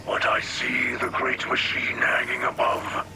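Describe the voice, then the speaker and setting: An actor playing a solemn, devout alien elder in a video game, with a breathy rasp and halting, formal phrasing. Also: male voice, mid-range voice, completely flat delivery, rough recording. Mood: grave, foreboding. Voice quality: breathy, rasping, half-whispered. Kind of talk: scripted video game cutscene acting. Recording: game music behind the voice.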